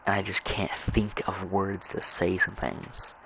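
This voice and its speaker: Young male gamer, deep fry-laden voice, rambling casual gameplay chat, halting with stammers. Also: level tone, heavy background noise. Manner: halting, stammering, repeating words. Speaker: gamer narrating own gameplay.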